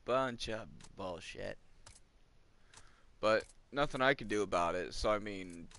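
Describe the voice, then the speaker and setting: A twenty-year-old man with a slightly low voice talks while he plays. He rambles casually and complains, with short chuckles between his points. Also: measured pace, a bit bright. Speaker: gamer. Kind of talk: casual rambling chat over gameplay.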